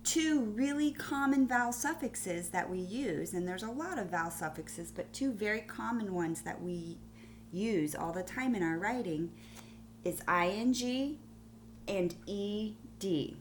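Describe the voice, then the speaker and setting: A woman teaches young pupils in a video lesson, speaking slowly at a middle pitch. She explains deliberately, spelling things out as she goes. There is faint noise behind her voice. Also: expressive. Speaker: primary school teacher.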